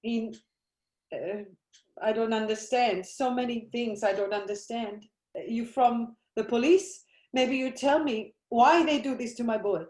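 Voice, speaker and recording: A woman's voice: fairly high pitch, measured pace, darkish tone, natural voice. An actress playing a desperate Italian immigrant mother with an Italian accent, speaking broken English. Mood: pleading. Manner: broken English, pleading then raging. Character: grieving immigrant mother, older woman, desperate and fierce. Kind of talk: broadcast drama acting. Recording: roomy echoey recording.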